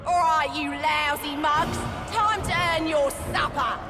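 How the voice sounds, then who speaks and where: An actress playing a brash, taunting British gang enforcer in a video game, cocky and rallying. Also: female voice, high voice, fairly even intonation, slow pace. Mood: barking orders. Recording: loud music and crowd behind.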